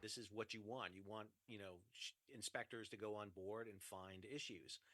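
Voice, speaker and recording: A man's voice: fairly low pitch, steady tone, normal speed, sharp tone, a little hiss or hum. A man giving expert comment in a news piece, explaining with emphasis.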